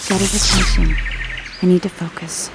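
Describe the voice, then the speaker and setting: An actress playing a self-assured, sharp-tongued sorceress in a video game cutscene, with a wry, assertive manner. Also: female voice, fairly low pitch, fairly even intonation, moderate pace, vocal fry, loud music behind the voice. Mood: focused.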